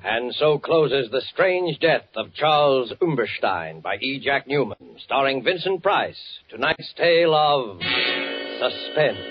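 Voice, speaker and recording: A man hosting a 1940s radio suspense series, reading scripted announcements in a measured, portentous way. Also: medium pitch, expressive. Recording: muffled vintage 1940s radio broadcast. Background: music.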